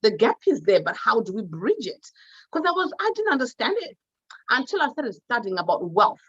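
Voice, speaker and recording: A woman's voice: a little high, big pitch swings, normal speed, a bit bright, husky, dry recording, lo-fi sound. A woman from Zimbabwe with a Zimbabwean accent, giving an unscripted motivational talk, emphatic and exuberant, repeating words for emphasis. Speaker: guest motivational speaker on wealth.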